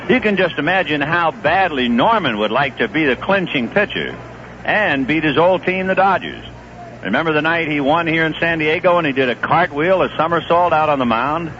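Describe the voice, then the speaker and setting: A man calling baseball play-by-play on the radio in a conversational, lively manner. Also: a little high. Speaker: play-by-play announcer. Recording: dull 1970s radio broadcast with hiss.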